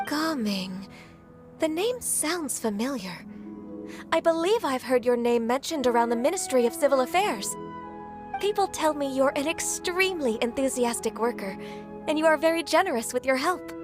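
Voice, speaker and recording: A gentle, polite young woman official in a fantasy game. She talks softly and a little hesitantly, in a fairly high voice. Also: lively intonation, normal speed, over music. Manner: soft-spoken, hesitant and polite.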